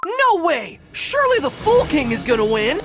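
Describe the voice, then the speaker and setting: A woman voicing a small, plucky and earnest young cookie hero in a game, blunt and excitable. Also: sits high, moderate pace, creaky, full character voice. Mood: alarmed. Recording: loud music behind the voice.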